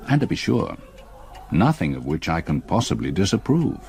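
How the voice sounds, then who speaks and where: A grave haunted english gentleman, middle-aged, husky low voice that swings about, radio play. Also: sing-song, normal speed, exaggerated voice. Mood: brooding, grave. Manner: formal, hesitant, grave. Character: haunted, reserved English gentleman stepfather, secretly dreading. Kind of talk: radio drama acting.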